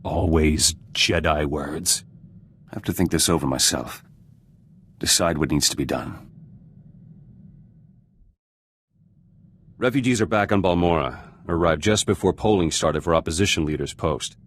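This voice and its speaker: A man's voice: a bass voice, moderate pace, slight background noise. A man playing an embittered, vengeful resistance fighter in a video game, speaking in terse, clipped fragments with dropped words. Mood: cold, resolute. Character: embittered male resistance fighter turned politician, hard and vengeful. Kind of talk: video game dramatic voice acting.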